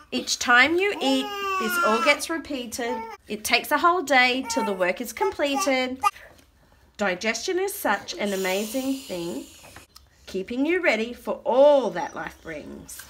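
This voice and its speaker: A woman reading a picture book aloud to small children in an expressive, child-directed way, pausing to ask questions and repeat words. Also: high-pitched, very noisy. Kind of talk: read-aloud storytime with chat to small children. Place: carpeted floor indoors at home. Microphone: camera looking down at the book.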